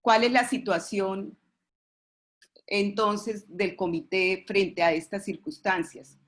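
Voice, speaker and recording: A woman with a Colombian accent giving a formal webinar presentation as an expert, often halting and repeating words as she goes. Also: mid-range voice, steady tone. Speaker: expert presenter at a webinar, representing a pediatric committee.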